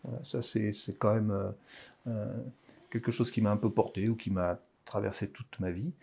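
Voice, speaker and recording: A man in his sixties with a Parisian French accent, an author talking about his writing in a hesitant, halting way in a casual interview. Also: on the low side, fairly even intonation, creaky, a little mellow, husky. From France. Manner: hesitant, halting, many restarts.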